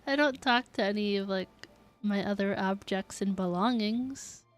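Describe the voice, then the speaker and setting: A woman's voice: mid-range voice, moderate pace. A woman chatting casually on a game livestream, informal and reacting as she plays. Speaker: game streamer.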